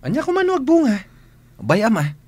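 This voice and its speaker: A man's voice: very high-pitched, huge pitch swings, moderate pace, slightly rough, heavily acted. An actor with an Ilocano accent playing a persuasive, easygoing man who teases and insists in a radio drama. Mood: insistent, dismissive.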